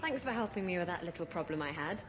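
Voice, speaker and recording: A woman acts out a roguish female pirate captain in a video game, her voice on the low side. She is flirtatious and brash, with a sardonic, teasing edge. Prominent music plays behind her. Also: expressive, quick pace, natural voice. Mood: playful, teasing. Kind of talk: video game cutscene acting.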